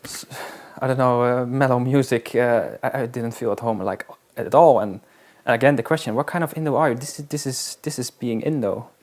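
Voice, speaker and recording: A man answers interview questions in a relaxed, conversational way. His voice has a gravelly, creaky texture at a middle pitch. He often pauses and restarts as he thinks his answers through. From Netherlands. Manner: halting and reflective, with frequent restarts. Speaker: interviewee, wilderness guide. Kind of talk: casual interview talk.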